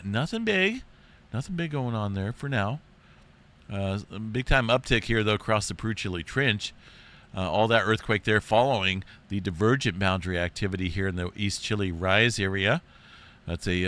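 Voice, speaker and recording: A man hosting an earthquake update in a rambling, chatty way, his voice deep and congested from being sick. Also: pushed and bright. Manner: rambling, chatty, says "right?" and "big time" often. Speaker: earthquake and space weather channel host. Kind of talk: casual screen-share update.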